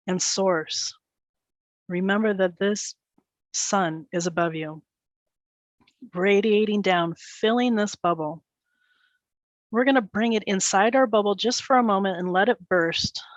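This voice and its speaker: A woman's voice: medium pitch, no echo, muffled recording. Female meditation leader, mid-range voice, soothing and unforced, phrase-by-phrase guidance with space between, very little room sound. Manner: gentle, soothing instruction in short phrases with pauses. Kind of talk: guided meditation.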